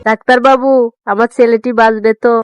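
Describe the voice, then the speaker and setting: A man's voice: extremely high pitch, flat delivery, brisk, clean tone, no echo, dull audio, faint music behind. A man voicing the tearful, wailing ghost of a dead village wife in a horror story. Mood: sobbing dread.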